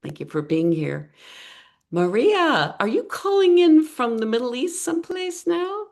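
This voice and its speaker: A woman's voice: high-pitched, big pitch swings, unhurried, a little mellow. A woman hosting an online storytelling gathering, introducing each speaker in a rambling, enthusiastic way. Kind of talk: warm introductions on a video call. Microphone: video call.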